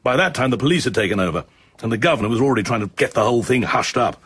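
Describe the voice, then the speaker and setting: An actor playing an efficient, level-headed military chief of staff in a radio drama, British, with a brisk, precise way of explaining. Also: male voice, medium pitch, lively intonation, a bit bright, slightly rough. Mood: puzzled, brisk.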